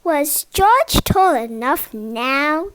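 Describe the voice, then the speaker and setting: Girlish female voice reading a kids book out loud, super slow n halting, really up and down. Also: sits high, expressive, poor audio. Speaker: storybook reader. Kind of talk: children's picture book read-aloud.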